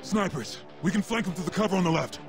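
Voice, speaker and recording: An actor voicing an alert heroic robot soldier in a video game, urgent and clipped, like someone calling tactics. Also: male voice, high voice, fast talker. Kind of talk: video game cutscene acting. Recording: very loud music over the voice.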